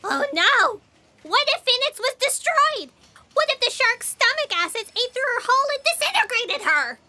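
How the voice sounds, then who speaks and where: A woman voicing an excitable, shrieky little creature crew member in a comic sci-fi audio drama. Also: very high voice, moderate pace, a little hiss or hum, heavily acted. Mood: alarmed, defensive. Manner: frantic, shrieky exclamations.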